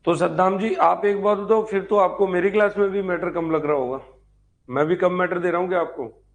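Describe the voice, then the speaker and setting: A man's voice: sits high, steady tone, fairly quick. A man giving an informal online history lecture as a teacher, repeating key points and asking check questions. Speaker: history teacher for exam preparation.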